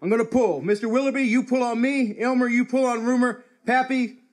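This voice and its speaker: A man's voice: very high-pitched, brisk, darkish tone. An actor playing an exasperated, take-charge country man with a folksy, scolding manner in live comic radio theatre. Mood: taking charge.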